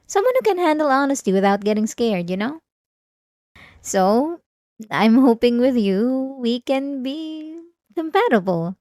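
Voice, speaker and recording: A woman playing an obsessive, manipulative therapy patient in an ASMR roleplay, outwardly calm and vulnerable, speaking haltingly. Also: fairly high pitch, no echo, clean studio sound. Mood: soft, probing. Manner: hesitant, halting, with sighs and nervous soft laughs. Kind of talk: intimate ASMR roleplay monologue.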